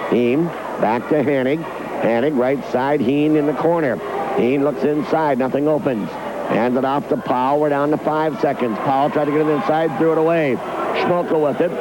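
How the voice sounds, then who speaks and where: A male radio announcer calls a basketball game live from a gym. His voice sits a little high and his phrases are short and clipped. Heavy noise runs all through the old broadcast. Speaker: radio play-by-play sports announcer. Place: indoor gymnasium fieldhouse. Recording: noisy 1990s local radio broadcast.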